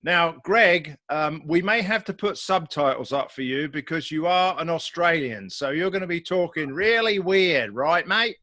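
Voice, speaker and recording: British male host, bit high, dry close sound, chatty, jokey, filler-heavy. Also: normal speed, few pauses, sharp tone, no echo. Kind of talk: casual video-call chat. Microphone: video call.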